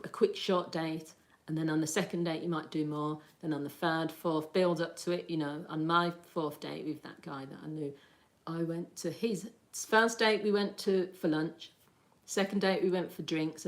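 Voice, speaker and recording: Chatty woman, slightly low voice. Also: fairly even intonation, moderate pace. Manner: chatty and rambling, laughs often. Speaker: livestream host. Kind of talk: casual livestream chat, answering viewer comments.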